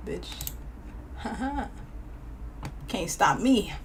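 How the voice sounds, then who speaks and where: A woman co-hosting a casual podcast, animated and quick to laugh, with a voice that turns raspy at times. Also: mid-range voice. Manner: animated, exclaiming, laughing often. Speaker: podcast co-host. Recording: distant mic, faint ambient noise.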